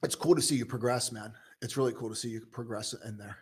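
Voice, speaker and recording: A young man casually hosting a live stock-trading stream, chatting loosely and joking with viewers in a relaxed, tired way. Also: mid-range voice, quick pace, breathy edge. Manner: loose, joking, full of restarts and "dude". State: tired, low on coffee.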